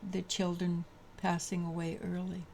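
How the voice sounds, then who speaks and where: A woman with a low voice giving a daily tarot reading, halting and full of restarts. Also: completely flat delivery, darkish tone, a little hiss or hum. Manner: halting, many restarts and fillers. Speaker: tarot reader.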